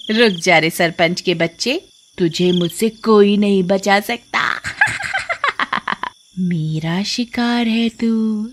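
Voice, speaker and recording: Man-eating witch for an animated horror tale, played by a woman: taunting, drawn-out, gleefully menacing, faint noise behind. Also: mid-range voice. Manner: taunting, drawn-out words, cackling.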